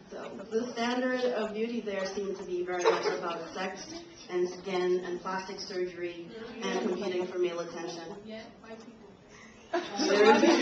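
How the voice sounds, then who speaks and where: A young woman reading a prepared speech to an audience, a little nervous and laughing now and then. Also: mid-range voice. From Trinidad and Tobago. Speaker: invited speaker. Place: university lecture room. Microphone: camcorder from the audience. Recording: roomy, distant, dull camcorder audio with noise.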